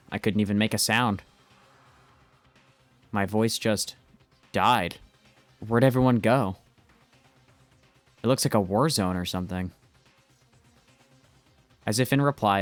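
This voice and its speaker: A man's voice: lowish voice, no room sound, close to an everyday voice. A man playing an anxious, earnest teenage schoolboy, narrating in the first person for an amateur game dub.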